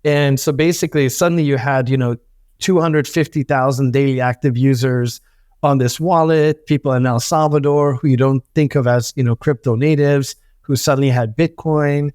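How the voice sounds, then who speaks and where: A man's voice: mid-range voice, steady tone, a little mellow, no echo. Guy in his 30s rambling thru his startup story, lots of uh and you know, dry close mic. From born in Hungary, raised in the US. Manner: quick, rambling, many fillers and restarts. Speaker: startup founder guest. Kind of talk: casual interview talk.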